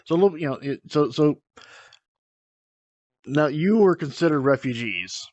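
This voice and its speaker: American man interviewing, halting and repetitive. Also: a little high, animated, a little mellow, lo-fi sound. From United States. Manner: halting, repeats words, restarts sentences. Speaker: host. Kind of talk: casual interview.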